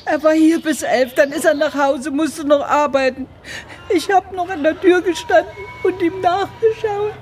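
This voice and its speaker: An actress playing an unhappy farmer's wife in a radio play, halting and emotional. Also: female voice, extremely high pitch, a little mellow, slight background noise. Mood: tearful.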